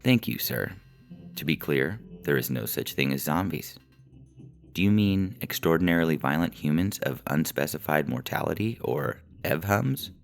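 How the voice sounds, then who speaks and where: A man playing an unflappable call-center agent in an audio story, speaking in a polite, deadpan, bureaucratic way. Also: a bass voice, moderate pace, gravelly, soft background music, exaggerated voice. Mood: officious.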